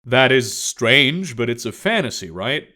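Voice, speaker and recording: A man playing a calm, unflappable male agent in an audiobook, asking questions in a measured, reserved way. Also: medium pitch. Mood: probing. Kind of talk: audiobook character dialogue.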